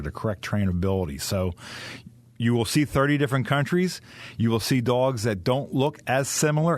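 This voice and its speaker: A man's voice: medium pitch, dry recording. A man, a professional dog trainer, explaining dog competitions in detail as a radio interview guest. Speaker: dog trainer, kennel owner.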